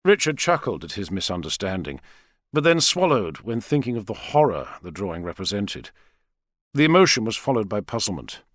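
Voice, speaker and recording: A man's voice: on the low side, big pitch swings, moderate pace, fairly bright tone, no echo, clean studio sound. A man reading a novel aloud as a scripted audiobook narration.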